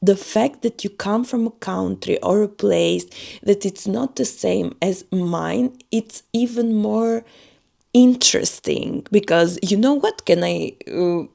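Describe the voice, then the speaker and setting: A woman's voice: mid-range voice, slow, vocal fry, dark tone. A woman from Albania, a native Albanian speaker, talking earnestly in a personal vlog and searching for her words. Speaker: vlogger. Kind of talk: personal reflective monologue.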